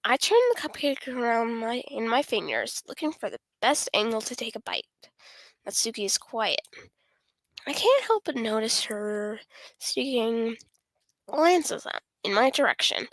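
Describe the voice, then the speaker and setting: A woman's voice: fairly high pitch, animated, a little slow, fairly bright tone, no room sound, rough recording. A woman streamer reading a visual novel aloud, often stumbling over the words and correcting herself.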